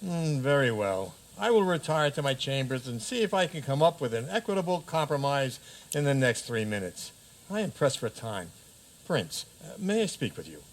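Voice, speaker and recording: A man's voice: mid-range voice, big pitch swings, normal speed, poor audio. Man playing a pompous, swooping frog king. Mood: hurried, impatient. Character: pompous, hurried male frog king presiding as judge. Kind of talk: community radio theater comedy acting.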